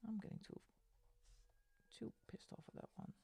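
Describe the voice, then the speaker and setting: A woman's voice: moderate pace, dark tone. A woman streamer chatting casually while she colors, given to exasperated rants, speaking into a desk microphone. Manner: ranting, exasperated asides; sings along to music between chat.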